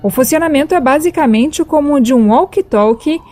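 A woman's voice: high-pitched, animated, fairly quick, a little mellow, soft background music. A woman with a Brazilian accent reading scripted narration as host of a news podcast.

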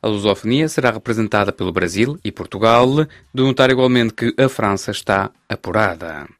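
A man working as a radio sports reporter, reading the news and putting questions to players in a measured, formal way. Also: fairly low pitch, no echo, studio quality, soft background music. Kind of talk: scripted news reading and interviewing.

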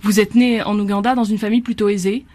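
Brisk fast-talking radio interviewer. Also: medium pitch, fairly even intonation, a little mellow. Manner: brisk, leading questions.

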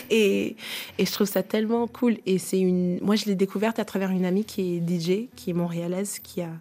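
Enthusiastic singer, mellow low voice. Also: female voice. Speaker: singer guest. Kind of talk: casual radio interview.

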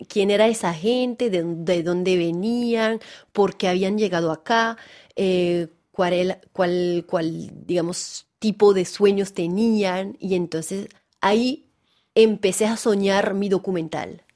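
A French woman in her thirties, an actress being interviewed on the radio, speaking Spanish with a Venezuelan-tinged accent in a chatty, relaxed way. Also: mid-range voice, slow. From France. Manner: chatty, relaxed, often laughing, full of "digamos" and "como que". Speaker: actress and theater director, guest. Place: radio studio.

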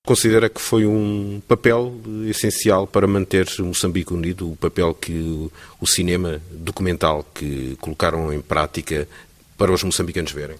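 A man with a very low voice conducts an interview. He asks his questions calmly and in a formal way. His tone stays even from one question to the next. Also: normal speed.

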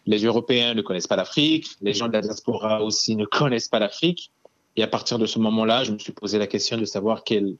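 Male game studio founder from the Central African Republic; low, calm, earnest; dull lo-fi sound. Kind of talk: radio interview answer.

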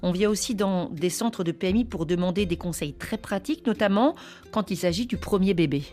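A woman's voice: medium pitch, fairly quick, dark tone. A woman hosting a radio news programme, putting questions to a reporter. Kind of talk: broadcast interview with a reporter.

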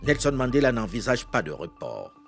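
A man narrates a history documentary for radio, his pitch rising and falling in lively, animated sweeps. His delivery is measured and solemn, and soft music runs beneath his voice. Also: vocal fry. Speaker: host of a history radio program.

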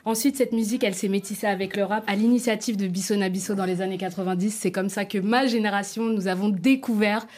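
Guest journalist, woman, playful and lively, laughs often, walks through a prepared piece. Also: medium pitch, fast, hardly any pauses. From not Congolese. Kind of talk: presenting a prepared segment.

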